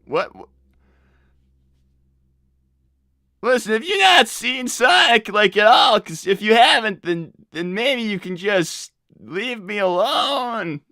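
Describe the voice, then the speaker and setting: Young cartoon fox inventor, played by a man: bright, close dry voice, flustered, stuttering and exasperated, for improvised roleplay. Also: high voice, expressive, unhurried, few pauses, dry recording, soft background music, heavily acted. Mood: indignant. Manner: stammering, repeats words, exasperated. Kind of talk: improvised live character roleplay.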